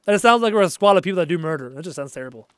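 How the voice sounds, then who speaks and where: A man with a high voice chats casually through a live game stream, talking loosely and getting excited over viewer messages. His voice is wearing out and his energy is flagging. The sound is dry and close, at a home desk. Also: rapid-fire, clear, resonant tone, dry recording. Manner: chatty, reads out viewer messages, easily excited. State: losing his voice, low on energy.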